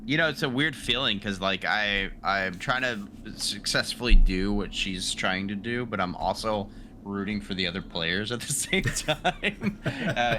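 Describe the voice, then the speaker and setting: Bright, lively man with a lowish voice. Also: slight background noise. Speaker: player on a tabletop roleplaying show. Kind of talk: casual chat.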